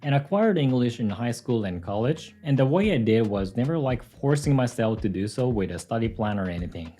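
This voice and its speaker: A young Japanese man, speaking English as a second language, calmly narrating a scripted video about his language learning. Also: mid-range voice, a little fast.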